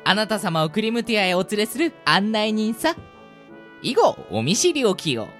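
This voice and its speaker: A woman's voice: medium pitch, sing-song, moderate pace, faint music behind, close to an everyday voice. A woman acting in a radio drama as a cute, cheeky, politely bossy talking rabbit guide who speaks with theatrical, boastful courtesy. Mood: showy, welcoming. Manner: theatrically polite, boastful, uses boyish pronoun.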